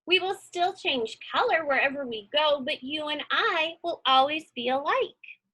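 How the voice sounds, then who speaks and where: A woman's voice: high voice, expressive, unhurried, sharp tone, exaggerated voice. A woman voicing an older, wiser male chameleon in a storybook, kindly and reassuring in a matter-of-fact way. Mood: gentle, reassuring.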